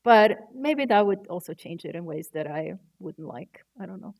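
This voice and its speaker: Croatian-native woman typographer, low voice, thinks aloud on a panel. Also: expressive, normal speed, gravelly, a little mellow. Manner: thoughtful, searching for words, candid. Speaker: artist and typographer, university educator. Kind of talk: panel discussion answers.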